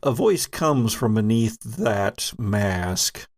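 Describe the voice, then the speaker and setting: Slow, gravelly man narrating a game. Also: on the low side, expressive. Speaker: game master. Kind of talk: narrating a tabletop role-playing game.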